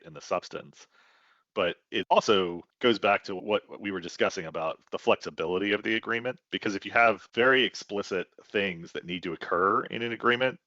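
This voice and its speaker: A man with a very low yet bright, firm voice gives expert commentary in a panel conversation. He explains carefully and stresses key words. The audio is close, dry and slightly dull. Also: dry recording.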